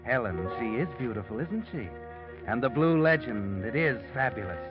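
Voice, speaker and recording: A man doing an ominous all-knowing male narrator, slow deliberate delivery, muffled old radio sound, music under it. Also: animated, natural voice. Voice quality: low, deliberate second-person narration. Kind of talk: 1940s radio drama narration.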